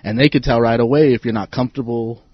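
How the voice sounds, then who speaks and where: A man's voice: mid-range voice, fairly even intonation, fast, slightly rough. Casting: laid-back rambling man, podcast guest, dull lo-fi recording. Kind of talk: casual chat. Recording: dull lo-fi sound.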